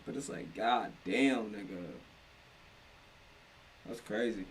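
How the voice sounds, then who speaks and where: A man's voice: on the low side, slow, distant mic, low-quality recording. A man giving casual, unscripted reaction commentary, slangy and profane, repeating himself for emphasis. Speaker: reaction video host.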